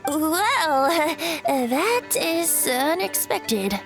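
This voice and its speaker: A woman's voice: sits high, big pitch swings, drawn-out words, heavily acted. A woman voicing a playful young male bard with a breezy, slightly old-fashioned way of talking. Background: game music.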